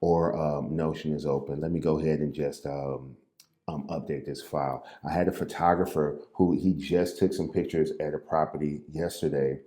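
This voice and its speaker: A man from St. Louis with an exceptionally deep, dark, mellow voice talks other agents through their habits in a loose, unscripted coaching style. His delivery is even and close to monotone, with small restarts and fillers. He stands at his call desk in an echoey room. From Midwest US, St. Louis. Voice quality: naturally low, somewhat monotone voice. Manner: unhurried, loose and conversational, with repeated words and fillers. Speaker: real estate agent and coach. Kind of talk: impromptu coaching talk to camera.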